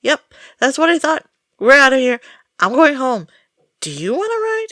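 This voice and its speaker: A woman playing an exasperated, jumpy friend caught up in a haunted-house outing, sarcastic and expressive, in an audio roleplay. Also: high voice, normal speed, dry recording, clean studio sound, exaggerated voice. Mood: angry, unnerved.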